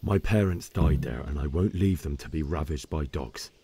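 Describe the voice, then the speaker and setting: An actor playing a determined, grieving young peasant lad in a game cutscene, earnest and stubborn. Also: male voice, rumbling low, normal speed, creaky, warm tone, breathy edge, full character voice. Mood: grieving, resolute. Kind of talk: video game cutscene acting.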